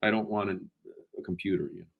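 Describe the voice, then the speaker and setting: A man's voice: deep voice, fast talker, gravelly, phone-quality sound, background noise. A man, a guest author, talking in a casual interview with many false starts and repeated words.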